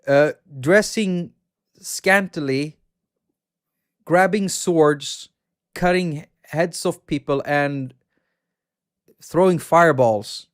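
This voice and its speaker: A man with a Swedish accent, hosting a casual livestream, rambling about games and reading out chat. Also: fairly high pitch. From Sweden. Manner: chatty, rambling, reads out viewer chat and laughs often. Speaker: livestream host.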